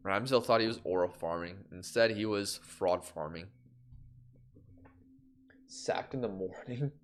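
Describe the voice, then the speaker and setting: A man's voice: deep voice, measured pace. A young man from Canada, chatting on a live game stream and reacting aloud to results.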